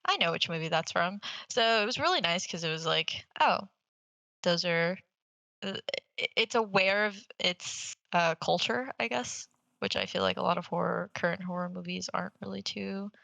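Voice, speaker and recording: Female podcast host, slightly low pitch, close dry sound, loose conversational movie chat. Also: moderate pace, gravelly, pushed and bright, dry recording. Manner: informal, rambling, swears casually. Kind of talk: casual chat, movie review.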